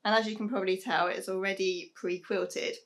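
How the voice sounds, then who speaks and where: A young British woman chats to a camera set up in a small sewing room at home. Her voice is a little croaky and worn. She rambles in a friendly way, full of ums and soft laughs. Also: mid-range voice, fairly even intonation, brisk. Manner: chatty, many "um"s, soft laughs. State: hoarse, losing her voice. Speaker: sewing vlogger. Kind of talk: casual chat to camera.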